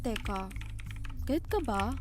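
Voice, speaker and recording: A woman's voice: mid-range voice, expressive, measured pace, a little hiss or hum, natural voice. A woman with a Filipino accent acting a young woman talking to her best friend in an amateur drama. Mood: unsure, probing.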